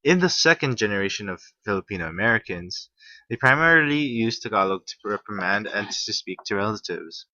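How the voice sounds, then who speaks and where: Young man, student presenter, halting delivery, lowish pitch, lo-fi recording. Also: animated, bright, forceful tone, no echo. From Hawaii. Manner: halting, with restarts and fillers. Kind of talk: reading a class presentation. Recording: muffled, slightly lo-fi.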